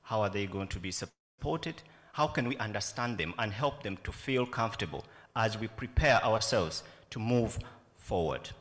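A man's voice: fairly low pitch, lively intonation, normal speed, a bit bright. Earnest Ugandan man in a reverberant hall. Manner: earnest, reflective, asks many questions. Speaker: festival director on a panel. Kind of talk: panel talk. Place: conference room with a yellow banner. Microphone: stand mic.

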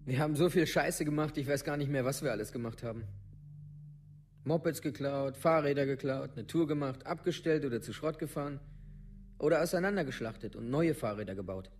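An actor playing a rough teenage village lad in a radio play, telling stories in colloquial, clipped fragments. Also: male voice, medium pitch, fairly quick, a little mellow, a little hiss or hum, natural voice. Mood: matter-of-fact. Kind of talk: documentary radio play monologue.

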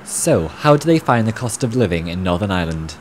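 A man's voice: lowish voice, very animated, a little fast, darkish tone, slight background noise. A young man reporting a television news story, reading a scripted piece to camera outdoors on a university campus. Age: young adult. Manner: crisp formal delivery.

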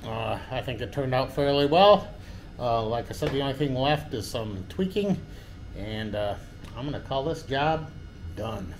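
Halting man giving a workshop tour. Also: medium pitch, lively intonation, a little hiss or hum. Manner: halting, many fillers and restarts. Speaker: DIY vlogger. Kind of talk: casual walkthrough of a workshop build. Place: indoor basement workshop.

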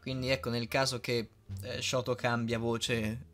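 A woman's voice: rumbling low, flat delivery, measured pace, faint music behind. A young woman talking casually in an interview, giggly and blunt, often hesitating as she phrases answers. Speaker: amateur voice dubber and writer answering fan questions.

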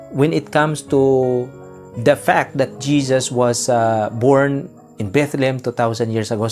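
A man in his forties preaching a home-recorded sermon to camera, reading scripture and then explaining it in Taglish with polite "po" and short pauses. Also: on the high side, darkish tone. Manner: earnest preaching with frequent "po" and filler pauses. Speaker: pastor. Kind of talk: sermon to camera, mixing Tagalog and English.

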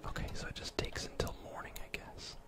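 Young man whispering softly. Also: a little fast. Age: young adult. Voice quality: soft breathy whisper. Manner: casual, gently chatty. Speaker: gaming ASMR video host. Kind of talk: whispered ASMR game commentary. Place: indoor room at home.

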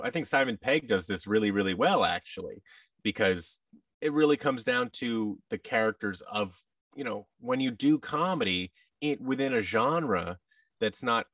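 Male, 30s, lower register, conversational guest, dry room, a little off-mic. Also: fairly bright tone, dry recording, far from the mic. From United States. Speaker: interview guest, actor and voice-over artist. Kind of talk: casual interview talk. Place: large indoor room with tables, on video call. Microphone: boom-arm microphone, headset.

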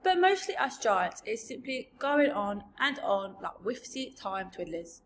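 A woman voicing a huge, very old, gentle male giant from a storybook, with mangled grammar and invented words. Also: mid-range voice, sing-song, measured pace, a little hiss or hum. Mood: earnest, emphatic. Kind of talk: storybook character voice.

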